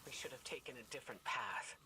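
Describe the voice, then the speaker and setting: Wise, cautious female leader, noisy backdrop. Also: very deep voice. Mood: concerned, reproving. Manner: measured, formal, admonishing. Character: wise, cautious woman leader, firm and concerned. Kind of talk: video game dramatic dialogue. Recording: game audio under effects noise.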